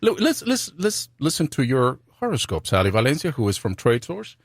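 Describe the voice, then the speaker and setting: Lively, stammering male talk-show host. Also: mid-range voice, a little fast, dry recording. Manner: stammering, laughing, repeats words. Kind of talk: playful talk show banter.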